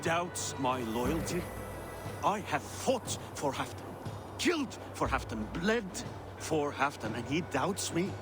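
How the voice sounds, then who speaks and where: A bold, theatrical Viking warband leader from a video game, proud and blunt. His mid-pitched voice moves in big, animated swings. Music plays clearly behind him. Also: slow pace. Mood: indignant. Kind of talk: dramatic video game cutscene acting. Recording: game audio with music underneath.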